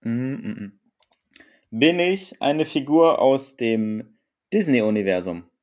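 A man co-hosting a podcast, playing a casual guessing game, unhurried and playful and laughing easily. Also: medium pitch, animated, darkish tone. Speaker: podcast co-host.

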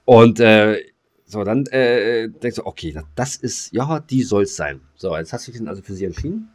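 A man's voice: on the low side, expressive, moderate pace. A man hosting a podcast in casual, joking banter, rambling colloquially and often repeating his words. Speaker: podcast host.

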